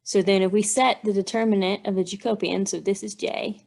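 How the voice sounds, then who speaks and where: Female technical instructor, mid-pitched with a gravelly, creaky edge. Methodical teaching delivery, reasoning aloud through worked steps. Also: steady tone, fairly quick, darkish tone. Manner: methodical, step-by-step explaining. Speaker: engineering instructor. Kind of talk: instructional walkthrough, thinking aloud while working out math.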